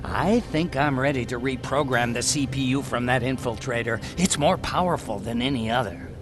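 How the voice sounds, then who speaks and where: An actor playing an eccentric, talkative scientist and hacker in a video game cutscene, eager, blunt and given to explaining. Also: male voice, medium pitch, normal speed, music in the background, lightly acted. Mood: urgent, conspiratorial.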